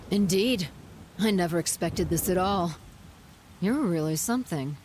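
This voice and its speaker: A woman voicing a haughty, scornful goddess villain in a video game cutscene, speaking in a measured, condescending and theatrical way. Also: fairly low pitch, a little hiss or hum. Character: haughty, scornful goddess, coolly amused villain behind it all.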